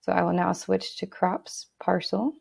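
Gravelly-voiced woman explaining software steps. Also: lowish voice, measured pace. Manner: steady instructional tone with occasional fillers and restarts. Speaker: software tutorial narrator. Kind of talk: screen-recorded software walkthrough.

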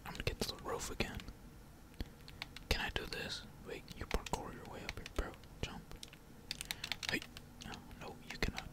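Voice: normal speed, pauses often. A gamer whispering slangy, laid-back ASMR commentary while playing, with casual swearing. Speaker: gaming streamer.

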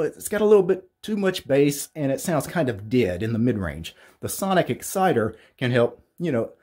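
Man in his thirties, deep mellow voice, slightly husky, casual rambling gear tour, small foam-lined home studio. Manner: relaxed, rambling, lots of fillers and restarts. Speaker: tech YouTuber. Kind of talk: casual equipment walkthrough.

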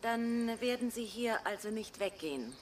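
A woman's voice: fairly high pitch, lively intonation, normal speed, background noise, natural voice. An actress playing a patient but firm grown-up neighbour woman in a radio play. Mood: firm, resigned.

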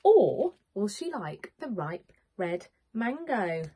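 Husky, expressive woman storyteller. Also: mid-range voice, slow pace. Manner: expressive, questioning, clearly addressing small children. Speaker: primary school teacher. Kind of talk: interactive picture-book story time for young children. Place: small home room with bookshelves, indoors.

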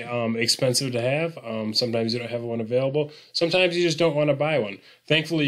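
A man presenting an unscripted software tutorial, explaining things informally step by step. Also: mid-range voice.

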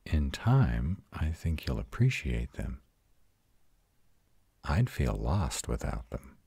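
A man voicing a tender, protective male vampire in an intimate, hushed audio roleplay, soothing and husky. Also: very animated, takes it slowly, no echo, full character voice. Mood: reassuring. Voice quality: very deep, husky, often breathy whispering. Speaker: voice actor.

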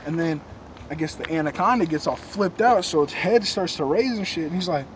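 Young guy telling a creepypasta, slangy and joking, kinda high voice, noisy cam audio. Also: lively intonation, a little fast. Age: young adult. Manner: slangy, laid-back, joking. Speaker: co-host. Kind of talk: casual storytelling. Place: dark room at night. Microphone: camera a few feet away.

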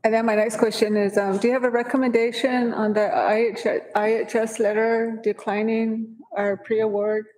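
Woman, council member, direct questions, mellow tone, echoing chamber. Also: a little high, little pitch movement, moderate pace, hardly any pauses. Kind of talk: council meeting discussion. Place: large council chamber with flags. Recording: long reverb in a hall.

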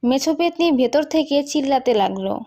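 A woman with a high voice narrates a folk tale for a cartoon. Her delivery is scripted storytelling. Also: expressive, normal speed, mellow.

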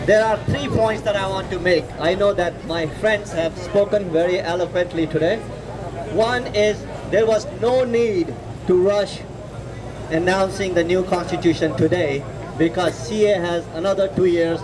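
A middle-aged man with a Nepali accent giving an earnest political speech, emphatic and deliberate, repeating words for stress. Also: high voice, crowd noise, poor audio. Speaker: activist speaker at a rally. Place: outdoor city plaza at night. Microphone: podium microphone. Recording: outdoor street noise with passing traffic. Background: street traffic and urban ambience.

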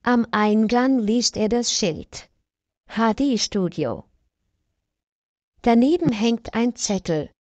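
Female narrator, slow and deliberate, dry close sound, for learner stories. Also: a little high, a little mellow, dry recording, highs cut off. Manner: slow, clear, evenly paced reading. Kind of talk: slow scripted story reading for learners.

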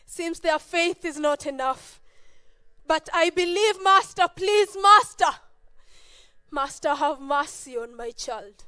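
A woman's voice: high-pitched, lively intonation, takes it slowly, bright, forceful tone, full character voice. A woman acting in a church Easter play as a desperate mother who tearfully begs for help for her possessed child. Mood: begging. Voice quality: tearful, pleading, repeats herself. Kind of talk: amateur stage acting.

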